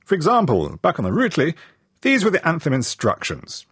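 A man presenting a scripted radio news feature, reading his narration with lively delivery. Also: a little high, moderate pace, breathy edge, no room sound, studio quality.

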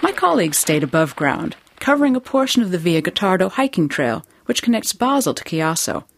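A woman hosting a radio program in a studio, reading scripted narration in a measured presenting manner. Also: on the low side, very animated. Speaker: program host.

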